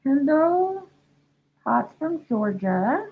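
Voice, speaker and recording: A woman's voice: high-pitched, very animated, extremely slow, a little hiss or hum. Congested woman on tinny livestream audio. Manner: chatty, many ums and restarts, reads questions aloud. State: losing her voice, congested from a head cold. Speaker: certified dog trainer answering viewer questions. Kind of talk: casual live Q&A.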